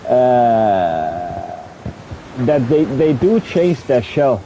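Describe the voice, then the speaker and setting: A man with a Danish accent talks to his livestream viewers in a dark, mellow voice. He stammers and repeats words while searching for English terms, and now and then swears in frustration. A faint hiss sits under the recording. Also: mid-range voice, big pitch swings, drawn-out words, poor audio. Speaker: streamer. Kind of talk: casual livestream chat with viewers.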